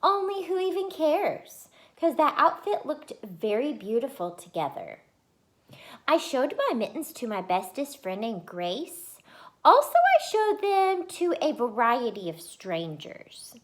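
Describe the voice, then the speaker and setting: A woman voicing an excitable, boastful little schoolgirl in a children's storybook, with childish grammar and gushing emphasis. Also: high voice, unhurried, pitched above the performer's own voice. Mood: chatty, self-satisfied. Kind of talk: first-person children's storybook read-aloud.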